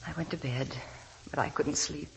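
An actress playing an anxious, devoted young wife in 1940s radio drama, earnest and pleading, on a vintage broadcast recording. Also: female voice, low voice, steady tone, moderate pace, gravelly, husky, full character voice, low-quality recording. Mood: troubled recollection.

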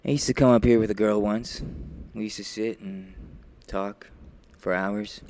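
A man playing a brooding, menacing murderer in a radio thriller, taunting and deliberate, with bitterness and sharp commands. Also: fairly low pitch, steady tone, a little hiss or hum, lightly acted. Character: menacing, brooding male murderer toying with his captive. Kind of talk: classic radio thriller acting.

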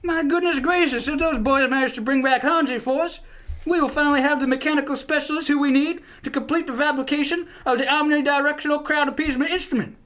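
Man acting blustery, high-pitched folksy army general. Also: steady tone, quick pace, a bit bright. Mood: blustery, scheming. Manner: folksy, rambling, drawling asides. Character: blustery American general, scheming, folksy, older male officer. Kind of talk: comic radio play acting.

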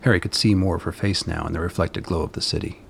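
A man narrating a dystopian radio play as a sombre, deliberate storyteller. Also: low voice, steady tone, gravelly, a little hiss or hum.

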